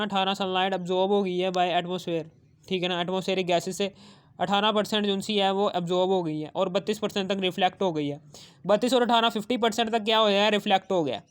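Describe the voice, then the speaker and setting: A man teaching a science lesson, repeating phrases and asking questions that he answers himself. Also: sits high. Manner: repetitive, asks questions and answers them, adds check-in tags. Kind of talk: explanatory school lesson, reading English textbook phrases and explaining them.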